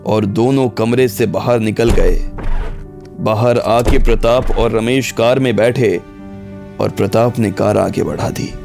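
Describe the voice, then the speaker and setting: A man's voice: on the low side, steady tone, a little slow, creaky. A man reading scripted narration for a dramatised audio story. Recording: music bed under the voice.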